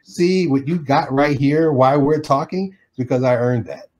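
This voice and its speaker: A man's voice: medium pitch, unhurried, darkish tone. A middle-aged man from Detroit, an animation artist, telling stories in a casual interview, acting out quotes and laughing often.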